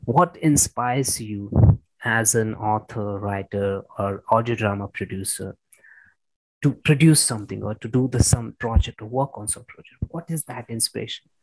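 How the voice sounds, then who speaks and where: A man's voice: on the low side, moderate pace, no room sound, low-quality recording. A man hosting a casual interview, encouraging his guest and often repeating and rephrasing himself as he goes. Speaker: interviewer, host.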